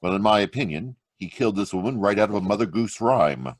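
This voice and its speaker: A man playing a deep, grave radio detective. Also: animated. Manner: measured, grave and reasoning. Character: calm, deductive male amateur detective. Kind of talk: old-time radio drama acting. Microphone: home mic on a video call.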